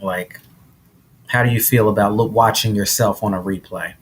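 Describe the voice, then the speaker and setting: Male podcast host, lowish voice, faint hiss behind, easy and encouraging. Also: moderate pace. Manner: relaxed, encouraging, lots of affirming mm-hmms. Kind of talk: podcast interview.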